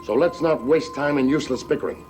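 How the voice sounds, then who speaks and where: An actor playing an imperious older count in a gothic soap, with a formal, stately, haughty delivery. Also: male voice, mid-range voice, fast talker, darkish tone, natural voice. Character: imperious old count, commanding and secretive. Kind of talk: 1960s-70s gothic TV soap acting. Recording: old TV soundtrack with music underneath.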